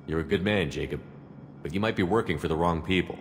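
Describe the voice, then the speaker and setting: A man's voice: deep voice, quick pace, long reverb tail, slight background noise, lightly acted. A man voicing a firm, wary military commander in a video game, blunt and direct.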